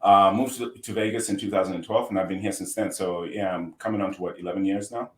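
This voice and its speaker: A middle-aged man from Zimbabwe with a Zimbabwean accent, talking as a guest in a relaxed podcast interview and often repeating a word as he restarts a sentence. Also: low voice, fast, slight background noise. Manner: relaxed, repeats words as he restarts, chuckles easily. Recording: roomy echo.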